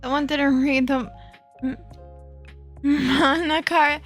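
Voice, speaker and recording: A woman's voice: high voice, steady tone, slow, a bit bright, soft background music. A young woman streamer from Florida chatting casually with her viewers, bubbly and giggly, self-deprecating and easily distracted.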